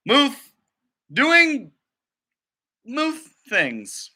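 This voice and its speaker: A hot-tempered duck director from a storybook, acted out by a man, barking orders in a high, forceful voice. The delivery swoops up indignantly and drags out words for emphasis. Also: huge pitch swings, very slow, full character voice. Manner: shouty, commanding, indignant. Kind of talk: picture book read-aloud character voice.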